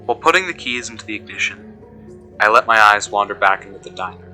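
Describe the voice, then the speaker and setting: A man playing an uneasy investigator who recounts events in measured, deliberate horror narration. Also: lowish voice, expressive, pushed and bright, low-quality recording. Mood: measured unease. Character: uneasy male investigator recounting being followed. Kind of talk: scripted first-person horror narration. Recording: music behind the voice.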